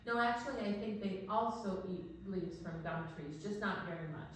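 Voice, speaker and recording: A woman's voice: medium pitch, normal speed. A woman co-hosting a children's storytime, chatting casually and playfully stretching words for emphasis. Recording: very echoey room with faint noise.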